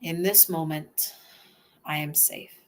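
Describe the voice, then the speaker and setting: A woman with a lowish voice leads a relaxation exercise. She talks slowly and softly, narrating her own breathing and movement as she goes, with sighs between phrases. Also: steady tone. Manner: slow, soft, first-person self-guiding with sighs. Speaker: somatic exercise guide.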